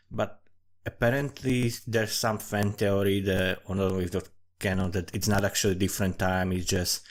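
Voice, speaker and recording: A man from Slovakia with a Slovak accent, giving casual, rambling commentary in a profane, self-mocking and digressive way. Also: low voice. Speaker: storyteller and amateur writer. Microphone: desktop USB condenser microphone.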